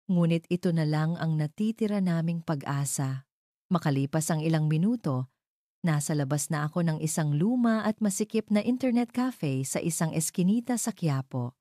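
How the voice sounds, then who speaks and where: A woman narrating a scripted first-person drama in measured, suspenseful phrases. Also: deep voice, warm tone, no room sound, studio quality. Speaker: story narrator.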